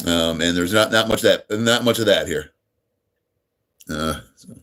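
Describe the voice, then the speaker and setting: A man's voice: deep voice, measured pace, a bit bright. Middle-aged American guy from near New York, radio-style voice, easygoing live streamer who rambles through chat replies. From United States, near New York. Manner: laid-back, friendly, rambling with many ums and uhs. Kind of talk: casual live-stream chat, reading and answering viewers.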